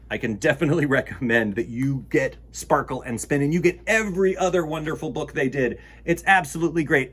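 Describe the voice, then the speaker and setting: A man's voice: medium pitch, husky. A man hosting a children's storytime, reading aloud and chatting expressively to young listeners in an enthusiastic, encouraging manner. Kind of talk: expressive read-aloud and chat addressed to children.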